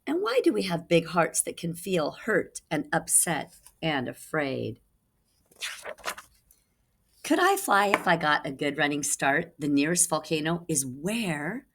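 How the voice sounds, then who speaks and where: A woman's voice: low voice, very animated, unhurried, fairly bright tone. A woman reading a children's picture book aloud as a teacher would, putting dramatic emphasis on key words for young listeners. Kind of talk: expressive children's read-aloud.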